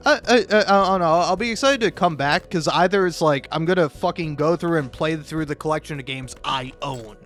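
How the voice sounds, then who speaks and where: A man giving casual, rambling game commentary in a halting, jokey way, often repeating words and restarting, swearing freely and putting himself down. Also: on the high side, a bit bright. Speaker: video game critic and YouTuber. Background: music.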